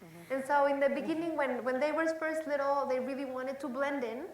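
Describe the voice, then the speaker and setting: A woman's voice: high-pitched, a little fast, far from the mic. A woman in her forties from Mexico City, with a Mexican accent and Spanish as her first language, talking expressively as a cooking teacher in an interview, with self-mocking asides. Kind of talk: interview conversation. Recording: echoey room from off-mic, faint background noise.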